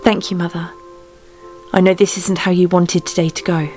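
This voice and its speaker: Affectionate young wife voiced by a woman, lowish. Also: little pitch movement, moderate pace, darkish tone, natural voice. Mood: tender. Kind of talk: fan dub drama acting. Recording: light music behind the voice.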